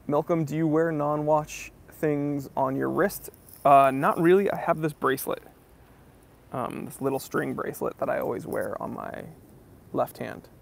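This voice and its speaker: A man's voice: on the high side, measured pace, vocal fry. A man from New England hosting a casual livestream Q&A. He reads viewer comments aloud and answers them in a friendly, easygoing way. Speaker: host of a watch channel.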